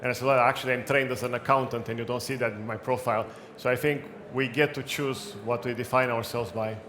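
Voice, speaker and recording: A man with a non-native English accent giving a brisk, practical conference talk, with light jokes. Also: mid-range voice, fairly even intonation. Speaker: conference speaker. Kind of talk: talk with audience questions. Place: event hall. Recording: echoey room, faint background noise.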